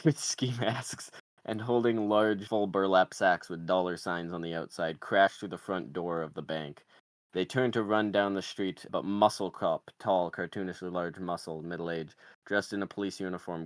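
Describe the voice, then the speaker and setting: Male table-read narrator, low voice, dry close sound, delivering stage directions. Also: no room sound. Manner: reads out screenplay directions. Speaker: podcaster reading stage directions.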